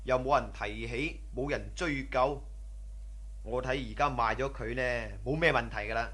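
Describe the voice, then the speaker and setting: Eager underling with a bright voice. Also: male voice, mid-range voice, moderate pace, husky. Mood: doubtful, reassuring. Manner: deferential, easily impressed, chummy. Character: humble, eager casino underling, admiring younger male cousin. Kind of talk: Cantonese radio drama acting.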